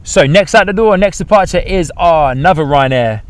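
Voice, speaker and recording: A man's voice: fairly high pitch, expressive, moderate pace. Upbeat man with an English-Kiwi accent. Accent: mix of English and New Zealand. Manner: upbeat, chatty, talks to viewers. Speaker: livestream host. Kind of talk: live plane-spotting commentary. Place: outdoors by an airport.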